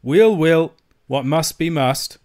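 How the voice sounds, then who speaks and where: A man's voice: on the high side, animated, slow, darkish tone, voice pushed up. A man narrating a storybook voices a suspicious, miserly old Scottish uncle, frightened and grudging, with a wary quaver. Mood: shaken, grudging.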